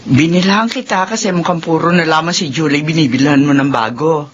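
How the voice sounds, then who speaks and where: A doting, nagging older mom in a radio drama, muffled old recording. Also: female voice, low voice, fairly quick, slightly rough. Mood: concerned. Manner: nagging, protective. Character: older mother, doting, critical of daughter-in-law. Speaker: mother of the husband.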